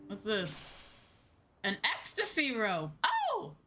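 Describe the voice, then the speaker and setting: A woman giving casual live gameplay commentary as a streamer, reacting out loud and repeating phrases. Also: medium pitch, wildly swooping pitch, slow pace, soft background music. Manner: repeats phrases, amused reactions, reads lines aloud. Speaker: game streamer.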